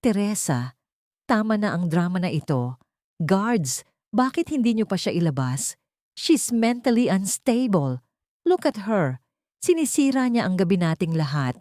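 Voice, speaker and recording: A woman's voice: medium pitch, sing-song, a little slow, pauses often, warm tone, dry recording, studio quality, pitched above the performer's own voice. A woman voicing a scheming, venomous socialite villainess in an audio drama, mixing Tagalog with English. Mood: panicked, indignant. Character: scheming, venomous young socialite villainess, desperate when cornered.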